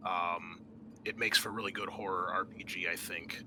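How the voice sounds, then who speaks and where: A man's voice: sits low, steady tone, normal speed, creaky, sharp tone, slight background noise. A man hosting a tabletop gaming show as game master, speaking in a casual podcast style.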